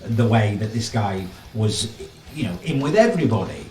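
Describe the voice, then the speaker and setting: A man's voice: on the low side, animated, moderate pace, darkish tone, a little hiss or hum. Guy doing a casual news rant vlog, sardonic, bit far from the mic, roomy echo. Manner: sardonic, incredulous, rhetorical questions and tag questions. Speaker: vlogger giving commentary on news. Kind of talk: casual opinion commentary, reading an article aloud. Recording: echoey room, mic at a distance.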